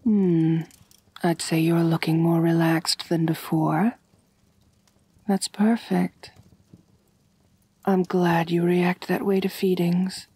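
A woman playing a refined, kind but possessive vampire mistress in a soft, intimate ASMR roleplay, gentle and doting, often near whispering. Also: fairly low pitch, little pitch movement, slow, pauses often, no echo, heavily acted. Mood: doting, soothing. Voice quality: breathy.

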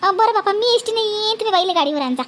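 Lady doing a squeaky village daughter-in-law, vidarbha marathi accent, talks fast, nags and pleads, cartoon style. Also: animated, fairly bright tone. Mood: eager, hurried. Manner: insistent, pleading, repetitive. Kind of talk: exaggerated cartoon family comedy.

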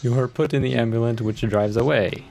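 A man chatting casually and reading game text aloud haltingly while he types. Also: medium pitch. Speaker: podcast host playing a game. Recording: clear noise, keyboard clatter. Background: computer keyboard typing.

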